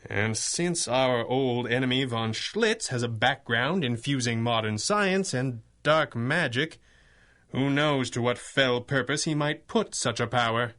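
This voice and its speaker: An actor playing a commanding masked hero in a 1930s-style radio drama, speaking in a formal, dryly wry manner. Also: male voice, medium pitch, measured pace, fairly bright tone, lightly acted. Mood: grave, brooding.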